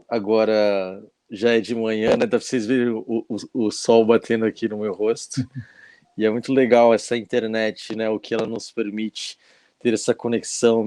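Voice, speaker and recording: A man in his forties from São Paulo with a São Paulo Brazilian accent, talking as a guest on a casual video-call live chat, friendly and unhurried. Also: on the low side, warm tone, no room sound. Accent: Brazilian Portuguese, São Paulo. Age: 40s. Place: at home in Japan, morning.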